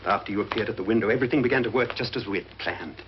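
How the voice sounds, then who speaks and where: An actor playing a self-assured murder plotter in a 1950s horror film, calm and conspiratorial. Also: male voice, fairly low pitch, racing, lightly acted. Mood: smug, conspiratorial. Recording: dull, noisy old film soundtrack.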